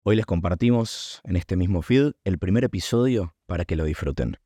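A man's voice: deep voice, lively intonation, moderate pace. A man presenting a scripted promotional introduction as a podcast host.

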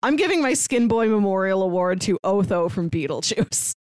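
A woman's voice: medium pitch, animated, normal speed, darkish tone, no room sound, studio quality. A woman co-hosting a podcast, talking casually and chattily as she reviews stories. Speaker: podcast co-host.

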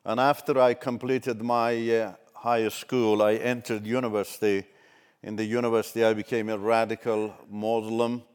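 An older man from Iran whose first language is Turkish, giving a measured, halting personal testimony as a ministry speaker. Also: on the low side, little pitch movement, clean tone.

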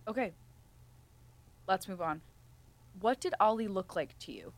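A woman playing a firm but gentle research scientist in an audio drama, speaking in a measured, formal interviewer's manner. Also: mid-range voice, animated, dry recording. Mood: probing, calm. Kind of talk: student audio drama acting.

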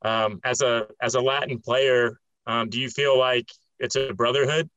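A man's voice: medium pitch, steady tone, moderate pace, fairly bright tone, no echo, lo-fi sound. A man hosting an interview, who also does some commentating, talking in long, rambling questions full of fillers. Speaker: podcast host and occasional commentator.

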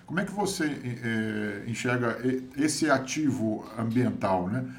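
A man's voice: mid-range voice, expressive, unhurried, slight background noise. A man with a Brazilian Portuguese accent interviewing conversationally, hesitant and rambling as he works toward his questions.

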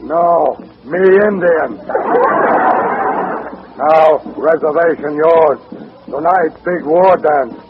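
Slow, gruff old-radio western chief. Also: male voice, fairly high pitch. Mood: commanding. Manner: broken, clipped speech with grunts. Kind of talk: old-time radio comedy acting. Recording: noisy, tinny old radio recording.

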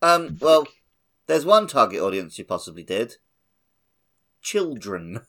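A man's voice: medium pitch, very animated, measured pace, sharp tone. A man co-hosting a podcast, chatting casually in a wry, joking manner. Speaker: podcast co-host. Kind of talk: casual chat.

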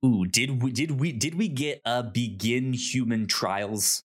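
A man co-hosting a podcast in casual chat, halting and repeating words as he thinks. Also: mid-range voice. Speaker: podcast co-host. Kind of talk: casual chat reviewing a TV show.

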